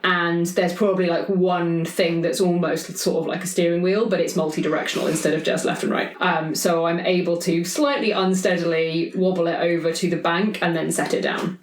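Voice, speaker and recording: A woman's voice: lowish voice, level tone, a little fast, hardly any pauses, a little hiss or hum. A woman playing a tabletop game, casually talking through her actions. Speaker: roleplaying game player. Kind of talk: casual chat with improvised narration. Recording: roomy echo.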